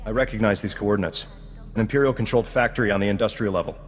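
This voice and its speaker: An actor playing a loyal, earnest field agent in a video game, dutiful under pressure. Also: male voice, on the low side, very fast, long reverb tail, natural voice. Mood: earnest, resolute. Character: earnest, loyal male field agent, shaken but helpful. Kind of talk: video game cutscene acting.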